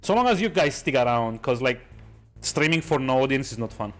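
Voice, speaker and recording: A man's voice: mid-range voice, lively intonation, fairly quick, faint music behind. A man giving casual live game commentary, rambling and joking as he chats to his viewers. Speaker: game streamer.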